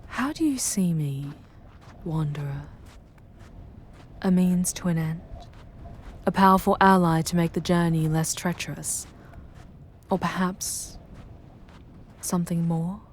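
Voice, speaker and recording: A woman playing a charming, proud yet tender female demon guide in an ASMR fantasy roleplay, measured and intimate, often dropping to breathy whispers. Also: on the low side, slight background noise. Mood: guarded, gentle.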